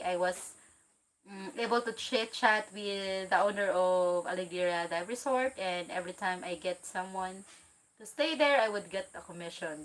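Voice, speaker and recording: A woman from the Philippines hosting a casual livestream, chatty and excitable, reading out viewer comments and laughing easily. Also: fairly low pitch, rough recording. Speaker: livestream host.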